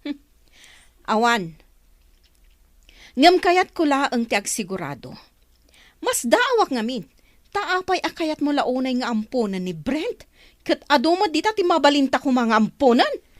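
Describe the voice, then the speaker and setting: Radio drama role: suspicious, nagging wife and mother, shrill-high and highly animated delivery. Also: measured pace, long pauses, dry recording, clean studio sound. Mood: suspicious, accusing. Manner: sharp, nagging. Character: scolding, suspicious, harsh wife and adoptive mother.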